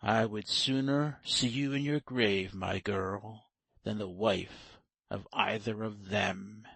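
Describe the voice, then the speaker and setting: A narrator voicing a stern, protective old farmer father in a storybook, a man with a gravelly, husky, grim voice. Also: on the low side, steady tone, slow pace, a bit bright, dry recording, voice pushed down, exaggerated voice. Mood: indignant. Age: older.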